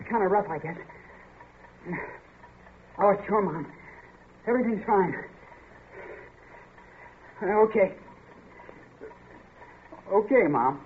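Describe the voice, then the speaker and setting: A man's voice: high-pitched, heavily acted. An actor playing a cocky but frightened teenage boy in a 1940s radio drama, blustering and tense. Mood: strained cheer. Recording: tinny, noisy old radio broadcast.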